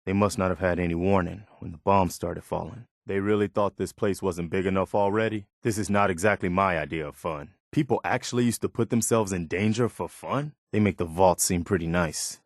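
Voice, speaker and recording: Principled militia officer, video game companion, lowish pitch, sincere, plain-spoken, morally firm, dry studio sound. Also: male voice, fairly quick, few pauses, no echo. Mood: curious, bemused. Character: earnest, principled militia officer, loyal but easily disappointed.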